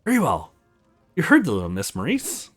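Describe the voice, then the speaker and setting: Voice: mid-range voice, wildly swooping pitch, a little fast, husky, voice pushed down. A woman voicing a tiny magic fox, cheerful, playful and boastful, in a visual novel game over music.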